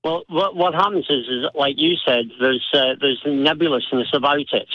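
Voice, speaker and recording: A man's voice: on the high side, normal speed, dry recording. A Scottish man calling in to a talk show, chatty and hesitant, with lots of fillers. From Scotland. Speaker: caller. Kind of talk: phone-in call. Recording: dull phone-line audio.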